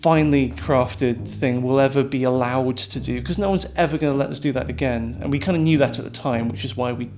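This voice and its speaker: A man's voice: medium pitch, brisk, hardly any pauses, a little mellow, soft background music. A man, a writer and director, casually reminiscing in an interview.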